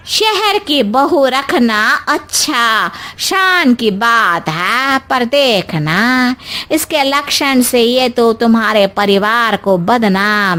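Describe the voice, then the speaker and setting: A woman voicing a nagging, scornful village elder who complains in a drawn-out, exaggerated way in a moral story. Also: sits high, sharp tone, full character voice. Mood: snide disapproval. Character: scornful gossiping village women and nagging mother-in-law. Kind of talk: Hindi moral story dramatization.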